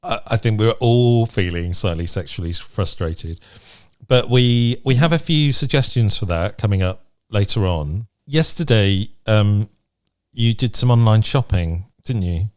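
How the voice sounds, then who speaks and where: A man's voice: fairly low pitch, lively intonation, unhurried, a little mellow, no room sound, clean studio sound. A man hosting a podcast in a casual, conversational style. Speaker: podcast host.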